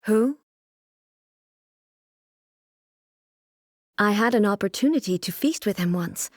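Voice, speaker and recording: A woman's voice: fairly high pitch, a little mellow, no echo, studio quality. A woman playing a stern, regal deity ruler in a video game, speaking in a formal, composed, stately way. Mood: musing, reserved. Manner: formal, stately, measured delivery. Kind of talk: scripted video game character voice lines.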